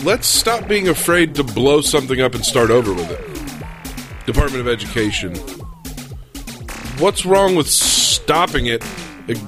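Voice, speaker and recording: Regular-pitched guy talking politics with buddies, earnest, kinda halting, music going behind. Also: very animated. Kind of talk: casual political debate among friends.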